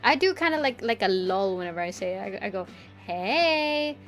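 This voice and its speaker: Young woman, casual stream chat, medium pitch, giggly, rambling, quiet music behind. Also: lively intonation, normal speed. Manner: rambling, lots of "like" fillers; giggly, playful voice play.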